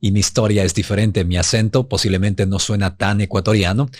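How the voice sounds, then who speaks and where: A man from Ecuador co-hosts a podcast in neutral Latin American Spanish. He has a low, slightly husky voice and a smooth, broadcaster-style delivery. The sound is dry and close to the mic. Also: fairly even intonation, brisk, no room sound. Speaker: podcast co-host. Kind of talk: polished podcast talk.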